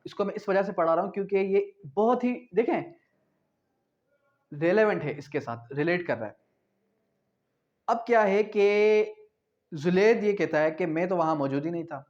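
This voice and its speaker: A dull, slightly muffled recording of a man with a Pakistani accent teaching law. His voice sits fairly high, and he teaches didactically, repeating key phrases and posing short check questions. He slips into English for legal terms, reading them out slowly. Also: expressive, a little mellow. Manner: switches between Urdu and slowly read English legal phrases. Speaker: law lecturer. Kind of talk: classroom lecture for exam preparation.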